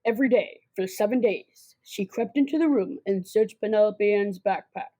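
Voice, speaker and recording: A woman's voice: medium pitch, moderate pace, dark tone, close to an everyday voice. A girl of about twelve narrating a comic suspense radio drama in a theatrical, scripted way. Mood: suspenseful. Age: child about twelve.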